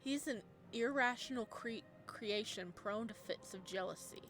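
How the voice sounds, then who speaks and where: A woman gives voice to a protective immortal god from a game story. His speech is sharp and clipped, and it stays cold even when he is calm. The delivery is unhurried. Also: fairly high pitch, a little hiss or hum. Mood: angry. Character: angry, protective male living god.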